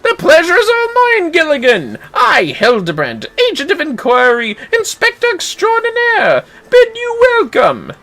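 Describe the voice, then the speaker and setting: High, booming, pompous gentleman inspector. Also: wildly swooping pitch, unhurried, few pauses, faint music behind, heavily acted. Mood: exuberant, grand. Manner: pompous, grandly declaiming. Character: booming, vain, theatrical gentleman inspector, relentlessly cheerful.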